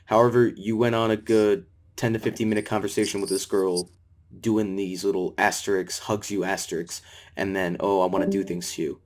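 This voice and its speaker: A man's voice: sits low, flat delivery, a little fast, darkish tone. A man grilling someone with pointed, interrupting questions, openly frustrated. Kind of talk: confrontational questioning.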